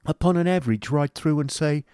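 A man's voice: fairly high pitch, fairly quick, warm tone, clear, resonant tone, no echo, studio quality, pitched above the performer's own voice, natural voice. A man voicing a pompous, grasping middle-aged schoolmaster who talks in a wheedling sales patter.

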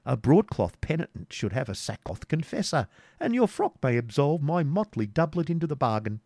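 A man's voice: on the high side, big pitch swings, normal speed, dark tone, no room sound, voice pushed up. A man voicing a witty medieval jester who teases with mock-pious wordplay in old-fashioned English, in a storybook reading. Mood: teasing. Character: witty, teasing male medieval jester servant.

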